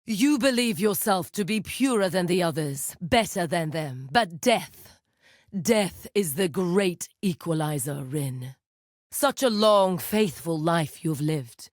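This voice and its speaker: A woman playing a commanding, coldly seductive necromancer villainess in a video game, speaking in a grandiose, deliberate, menacing way. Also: fairly low pitch, animated, no room sound, clean studio sound. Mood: cold judgment.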